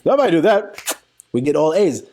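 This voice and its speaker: A man giving an informal software tutorial, talking through a live demo in a playful, colloquial way and thinking aloud. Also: on the high side, sing-song, normal speed. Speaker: software engineering instructor.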